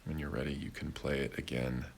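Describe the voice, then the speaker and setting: Very deep-voiced man guiding a meditation. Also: flat delivery, vocal fry, slight background noise. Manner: gentle, deliberate phrasing with long pauses. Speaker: meditation guide. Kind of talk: guided meditation, slow and unhurried.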